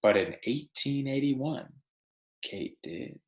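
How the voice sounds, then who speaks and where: Man reading aloud, slow and lively-pitched. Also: roomy sound. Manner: deliberate storybook phrasing. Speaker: teacher reading aloud to students. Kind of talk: children's read-aloud with casual asides.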